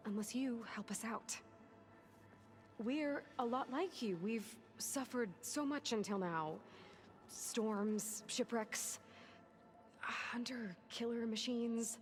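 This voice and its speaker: A woman's voice: on the high side, slow, soft background music. A woman playing a determined, pragmatic young heroine in a video game, with a direct, dry way of talking. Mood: urgent, persuasive. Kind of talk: video game cutscene acting.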